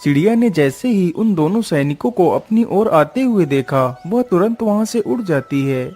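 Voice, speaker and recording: A man's voice: high-pitched, expressive, moderate pace, warm tone, soft background music. A man reading scripted narration for a children's cartoon story.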